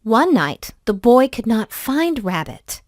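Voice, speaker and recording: Slow, animated woman reading a storybook. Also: on the high side, no room sound, clean studio sound. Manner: expressive, deliberate storybook delivery. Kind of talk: children's story read aloud.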